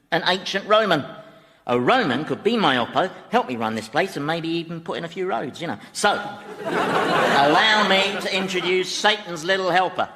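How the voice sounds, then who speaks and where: The Devil as a mischievous, mocking ruler of Hell in radio comedy. The voice is fairly high, bright and very animated. It echoes as if in a hall. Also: male voice, moderate pace, a faint crowd, a little hiss or hum, exaggerated voice. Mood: gleeful. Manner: mocking, chatty, mock-innocent. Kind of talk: radio sitcom acting. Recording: hall reverb.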